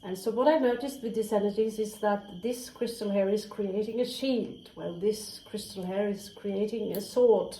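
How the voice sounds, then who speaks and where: A woman's voice: mid-range voice, normal speed, hardly any pauses, dark tone, a little hiss or hum. Chatty woman in an echoey room. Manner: candid and chatty, swears freely, drops into whispered asides. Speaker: tarot reader. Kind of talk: casual spoken tarot reading. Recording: roomy echo, faint crickets.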